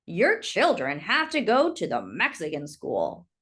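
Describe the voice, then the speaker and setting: A woman's voice: on the low side, wildly swooping pitch, unhurried, fairly bright tone, slightly rough, pitched below the performer's own voice, heavily acted. A woman reading the part of a curt, unyielding male school official in a storybook.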